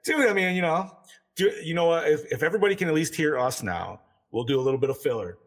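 A man's voice: fairly high pitch, quick pace. A man with a wife co-hosting a podcast, laid-back and casual, saying dude a lot.

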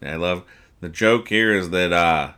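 A man hosting a podcast, giving casual comic commentary with mock-serious, exasperated deadpan nitpicking. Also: low-pitched, unhurried, clear, resonant tone. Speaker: podcast host. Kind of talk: comedic casual commentary reading comic strips aloud.

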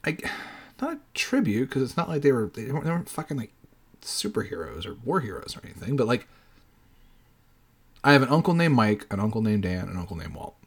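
A man's voice: medium pitch, big pitch swings, fairly quick, slightly rough. A man in his late thirties hosting a podcast solo, talking casually in a rambling way and swearing freely. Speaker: podcast host.

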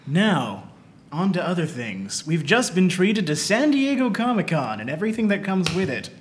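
High-voiced, animated man hosting a podcast. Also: moderate pace, a little hiss or hum. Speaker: podcast host. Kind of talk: podcast chat.

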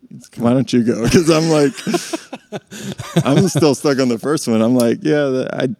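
A man's voice: mid-range voice, moderate pace, warm tone. A man co-hosting a church podcast, chatting casually and affirming what his co-host says. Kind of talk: casual chat.

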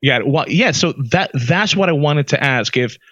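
Excited guy cohosting a podcast, kinda high voice, dry muffled sound. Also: moderate pace, no echo. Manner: excitable, talks loudly into the mic. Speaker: podcast co-host. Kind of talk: casual podcast interview.

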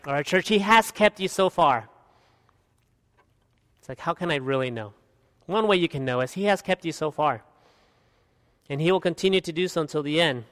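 A man in his thirties from Guatemala, preaching an expository sermon as pastor, earnest, swinging from emphatic peaks to quiet asides. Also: a little high, lively intonation, moderate pace, pauses often. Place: church hall. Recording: long hall reverb.

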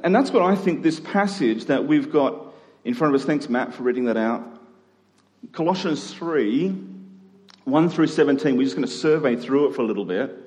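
A man preaching an expository sermon to a congregation, conversational in tone with deliberate, emphatic phrasing. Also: on the high side, a little mellow. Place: large reverberant room, live church service. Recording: reverberant hall.

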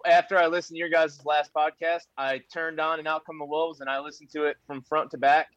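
A man's voice: on the high side, little pitch movement, fairly quick, fairly bright tone, no echo. A man in his late thirties, a podcast guest chatting casually and modestly with old friends. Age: 30s. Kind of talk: casual chat. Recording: dull lo-fi mic.

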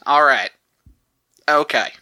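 A man's voice: fairly high pitch, slow pace, very noisy. A man voicing an immortal male cowboy in a put-on cowboy accent, hosting a comedic podcast news bit in a folksy, cryptic manner. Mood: drawling. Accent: put-on cowboy drawl. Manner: folksy, unbothered, grandly cryptic. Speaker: segment host.

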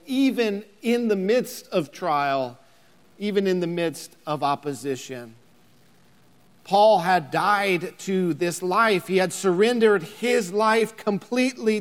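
Pastor, thirties, male; deliberate, rises to emphatic near-shouts, church hall reverb. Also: on the high side. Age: 30s. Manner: builds to emphatic near-shouting, repeats words. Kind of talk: sermon.

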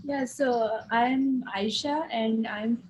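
A woman's voice: on the high side, steady tone, takes it slowly, a little hiss or hum. A woman from Pakistan, an artist guest on a video call interview, talking casually with lots of fillers and restarts. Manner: rambling with many fillers and restarts.

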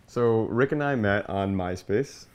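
A man's voice: on the low side, a little slow, darkish tone. A man recounting a personal story candidly and conversationally, often relaying dialogue as he goes. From Long Island, New York, United States.

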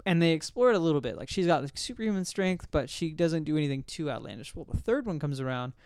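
A man's voice: fairly high pitch, very animated, quick pace, darkish tone, smooth, no room sound, clean studio sound. A man hosting a casual film discussion, rambling and often correcting himself as he recalls details. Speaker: podcast host.

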